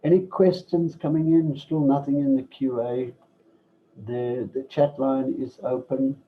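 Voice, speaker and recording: Male presenter, measured online lecture voice, average pitch, muffled low-fi call audio. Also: level tone, a little hiss or hum. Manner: measured, pauses with fillers, lists points. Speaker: masterclass presenter. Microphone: computer mic without headset.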